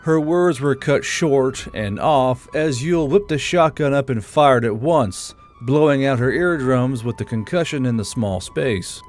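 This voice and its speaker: A male narrator reads a fantasy chapter in a mid-range voice, steady and measured. Faint background music plays beneath him throughout. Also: mid-range voice. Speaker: audiobook narrator. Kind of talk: scripted audiobook narration.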